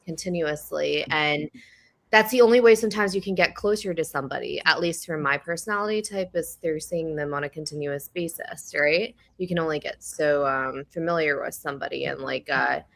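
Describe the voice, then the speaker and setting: A woman in her thirties talks earnestly on a panel, leaning on "like" as she thinks aloud. Her voice is low for a woman yet bright and forward. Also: a little fast, gravelly. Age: 30s. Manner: earnest, filler-heavy with "like". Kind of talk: casual panel chat.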